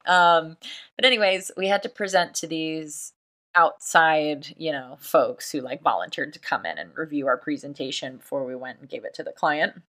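A woman co-hosting a podcast in casual chat, an animated storyteller who laughs often and acts out what people said. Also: deep voice. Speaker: podcast co-host.

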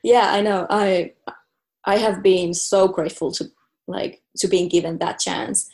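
A woman's voice: medium pitch, fairly even intonation, a little slow, a little mellow. A young woman from Finland with a Finnish accent, a native Finnish speaker, chatting casually as a podcast guest with many fillers and restarts. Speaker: guest, professional volleyball player. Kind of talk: casual interview chat.

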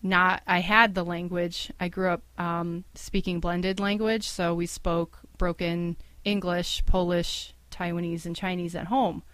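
Chatty woman with a lowish voice. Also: level tone, measured pace, hardly any pauses, fairly bright tone, no room sound. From Cleveland, USA. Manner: chatty, many fillers like "um" and "like", laughs easily. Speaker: guest, founding director of a language school. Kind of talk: casual interview talk.

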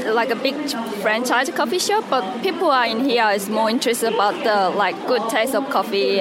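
Young-sounding woman amid loud crowd. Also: on the high side. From Korea. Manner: casual, many "like" fillers. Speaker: aspiring café owner.

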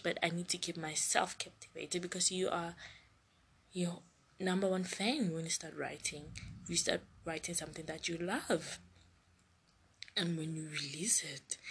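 A woman's voice: on the low side, fairly bright tone, breathy edge. A young woman hosting a solo podcast, talking in a rambling way and often restarting her sentences. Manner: rambling, restarts and self-corrects. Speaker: podcast host.

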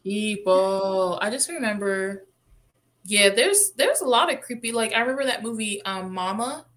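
A woman's voice: mid-range voice, animated, moderate pace, a bit bright. A woman co-hosting a podcast, chatting casually and informally with wry, jokey reactions. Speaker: podcast co-host. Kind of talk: casual chat.

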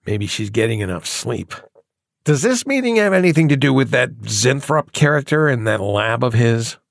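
Gravelly, grumbling executive. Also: male voice, mid-range voice, sing-song, normal speed, no echo, studio quality. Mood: skeptical. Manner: blunt, grumbling. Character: gruff, skeptical male executive watching the money. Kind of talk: campy B-movie audio drama acting.

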